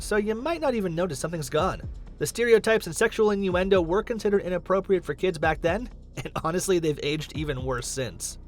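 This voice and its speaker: A man reading scripted countdown narration as the host of a list video. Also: high-pitched. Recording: music under the voice. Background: background music.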